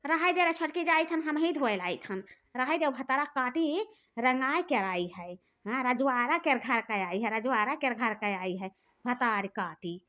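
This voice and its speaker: A woman's voice: a little high, lively intonation, unhurried. A woman voicing a quarrelsome, earthy village sister-in-law in a cartoon comedy, scolding and complaining with an Awadhi accent. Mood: scornful. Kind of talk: rustic cartoon comedy dialogue. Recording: dull lo-fi sound.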